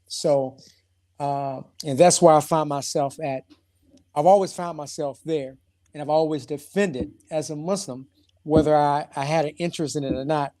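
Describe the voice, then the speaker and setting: St. louis guy calling in, kinda high voice, slow halting thinking out loud, dry sound. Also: dry recording. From St. Louis, USA. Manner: reflective, halting with fillers. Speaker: caller. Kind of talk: call-in question.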